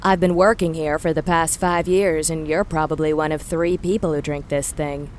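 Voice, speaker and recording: A woman's voice: sits low, steady tone, normal speed, a little hiss or hum, natural voice. Woman voicing a chatty male space-bar attendant. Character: friendly male space-bar attendant, soft-bellied admirer.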